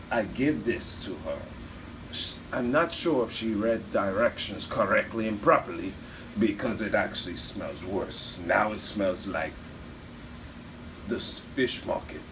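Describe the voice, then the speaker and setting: A man's voice: on the low side, lightly acted. A man playing an earnest, sentimental Bulgarian immigrant businessman in an improvised comedy chat, rambling in broken English with a mock Bulgarian accent. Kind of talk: improvised comic character chat. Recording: distant mic, clearly noisy room.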